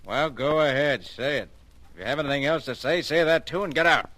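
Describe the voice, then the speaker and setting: A man's voice: a little high, brisk, pushed and bright. An actor playing a surly, defensive man, curt and defiant, in an old-time radio drama. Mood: defiant, irritated.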